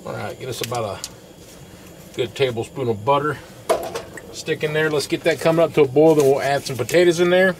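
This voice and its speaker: A man's voice: mid-range voice, brisk, long pauses. A middle-aged man casually narrating his cooking step by step at a camp stove outdoors, recorded on a camera mic. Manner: folksy, talks through each step. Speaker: camping vlogger. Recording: outdoor camera audio with clear background noise.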